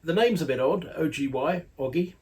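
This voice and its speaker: A man's voice: on the high side, animated, moderate pace, darkish tone. A man, an audio magazine editor, giving a casual spoken product review in a chatty, rambling way with playful asides.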